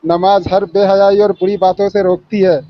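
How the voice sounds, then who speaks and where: A man's voice: sits high, little pitch movement, normal speed, no echo. A man pressing his argument in an online debate, insistent and quoting scripture. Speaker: debate panelist. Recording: dull lo-fi line.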